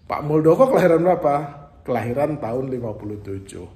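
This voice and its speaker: A man's voice: medium pitch, very animated, fast, mellow, roomy sound. A man hosting a solo political commentary, talking it through with frequent hesitations and fillers. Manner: thinking aloud with frequent fillers. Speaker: political commentary channel host.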